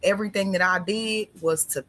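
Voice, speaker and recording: A woman's voice: mid-range voice, steady tone, a little fast, fairly bright tone, slight background noise. A woman in her thirties who speaks emphatically and affirmingly as a panelist in a casual conversation. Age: 30s.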